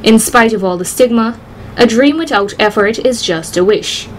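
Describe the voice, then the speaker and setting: A woman's voice: fairly high pitch, normal speed, slight background noise, rough recording. A twenty-year-old woman, reporting outdoors into a handheld mic and speaking firmly and earnestly. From Guyana. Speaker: reporter and agronomy student. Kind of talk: news report and interview answers. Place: outdoors by a building.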